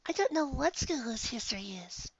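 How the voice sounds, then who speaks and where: A man voicing a timid, tender young female pegasus pony in a soft, breathy, hesitant falsetto. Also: sing-song, brisk, muffled recording, exaggerated voice. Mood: shy, hesitant. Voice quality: breathy, near-whispering falsetto.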